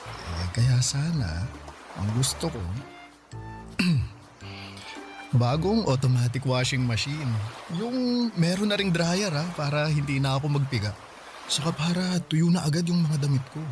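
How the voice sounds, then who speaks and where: An actor playing a vain, wheedling young kept boyfriend in a Tagalog radio drama, whining and coaxing cutely. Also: male voice, on the high side, lively intonation, measured pace, long pauses, over music. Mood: whiny, wheedling. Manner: whiny, coaxing, cutesy pouting. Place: studio recording with music behind.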